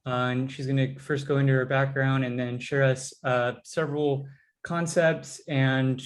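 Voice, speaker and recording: A man's voice: mid-range voice, level tone, unhurried. A man hosting a webinar, introducing the guest speaker hesitantly with many fillers.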